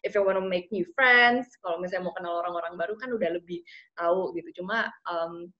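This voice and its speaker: A woman's voice: fairly low pitch, brisk. A woman, chatting casually and animatedly as an interview guest, switching fluently between two languages. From Indonesia, raised partly abroad in London and Ethiopia. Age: 20s. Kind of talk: casual chat mixing Indonesian and English. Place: small plain room indoors. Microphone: video call. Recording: dull lo-fi video call sound.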